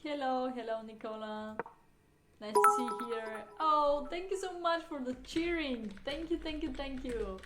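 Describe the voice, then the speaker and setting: Female chess streamer, slightly high voice, non-native English phrasing, casual; roomy sound with clear noise and key clicks. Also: a little slow. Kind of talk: casual livestream chat, thinking aloud. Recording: roomy, noisy mic with keyboard clicks.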